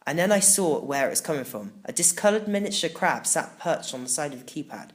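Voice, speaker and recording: A man narrates a children's adventure story as its first-person storyteller. His reading is expressive and emphatic, with a highly varied, swooping intonation. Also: a little fast. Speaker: audiobook narrator.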